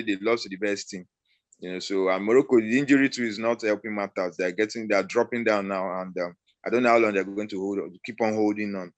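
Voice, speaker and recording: A man giving casual football analysis in a halting way, often repeating his phrases. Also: on the low side, no echo. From Nigeria. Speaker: football pundit.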